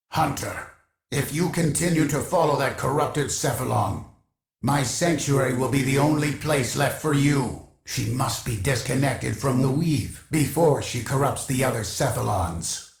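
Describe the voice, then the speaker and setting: A man playing a haughty, obsessive artificial intelligence archivist in a video game, speaking in a formal, measured, commanding way. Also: mid-range voice, gravelly. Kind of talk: scripted video game character dialogue. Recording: echoing, reverberant processed game voice.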